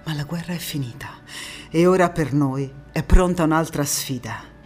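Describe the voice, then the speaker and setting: An actress plays a young woman partisan telling her war in a low-pitched voice, grieving yet resolute. The delivery is a theatrical monologue, intense and deliberate, with dramatic pauses and repeated words. Faint music sits behind her. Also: darkish tone, lightly acted. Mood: resolute, reflective.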